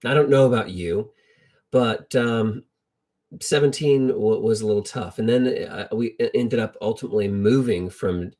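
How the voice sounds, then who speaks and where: Man, middle-aged, American accent, fairly low pitch, informal storyteller, reflective, halting delivery, repeated phrases. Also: a little mellow. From United States. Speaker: lay Bible storyteller. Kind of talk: informal Bible storytelling with personal anecdotes.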